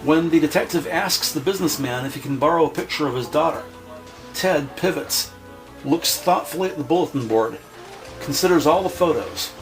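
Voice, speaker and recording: High-pitched male crime drama narrator. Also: moderate pace, poor audio. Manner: precise, descriptive. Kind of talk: scripted police drama narration. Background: music.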